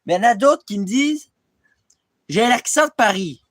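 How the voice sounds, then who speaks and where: A man's voice: very high-pitched, expressive, normal speed. A young man from Quebec rambles through a casual vlog into his tablet's built-in mic. He drifts from one gripe to the next, swearing freely and running himself down. He sounds loose and unscripted throughout. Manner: rambling, swears a lot, self-deprecating. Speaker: vlogger. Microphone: tablet camera mic.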